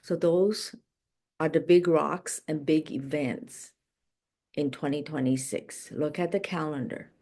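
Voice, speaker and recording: Low-pitched woman coach with unhurried delivery. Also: steady tone, dark tone. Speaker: life and business coach. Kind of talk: motivational coaching session.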